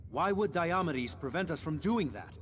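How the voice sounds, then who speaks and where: An actor playing a hot-headed, outspoken space marine sergeant in a game, forceful and indignant. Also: male voice, a little high, animated, normal speed, background noise, close to an everyday voice. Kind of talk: scripted game dialogue.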